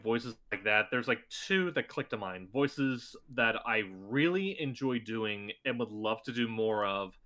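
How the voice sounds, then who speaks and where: A man's voice: mid-range voice, bright, forceful tone. A man talking as a guest voice actor in a casual podcast interview, chatty and rambling with many restarts.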